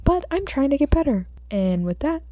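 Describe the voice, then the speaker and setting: Female hobby audiobook reader, relaxed dark tone, sore throat, informal delivery, close dry sound. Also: sits high, normal speed, no room sound. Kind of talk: story narration and casual chat with listeners.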